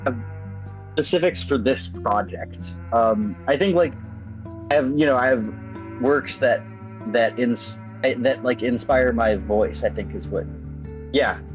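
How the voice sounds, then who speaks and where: A man's voice: medium pitch, a little slow. A man who writes games, chatting casually in an interview, hesitant and rambling with frequent stammers. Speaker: game writer. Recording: slightly dull lo-fi mic. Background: background music.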